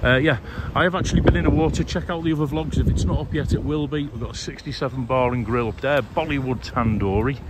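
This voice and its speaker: A man's voice: medium pitch, fast, hardly any pauses. Chatty man vlogging on windy promenade. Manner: chatty, upbeat, addresses viewers directly. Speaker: travel vlogger. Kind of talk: casual walking vlog narration. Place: outdoors on a seaside promenade. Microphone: handheld phone camera. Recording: loud wind and traffic noise outdoors.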